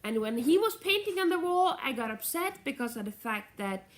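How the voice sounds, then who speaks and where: A woman's voice: sits high, sing-song, normal speed, slight background noise. Chatty nasal woman vlogging. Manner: chatty, meandering, self-correcting. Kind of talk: casual rambling vlog chat.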